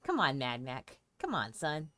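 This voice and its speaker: A woman's voice: rumbling low, huge pitch swings, measured pace, a bit bright, no echo. A woman chatting playfully and thinking aloud as she comments on a game for a livestream. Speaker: game streamer.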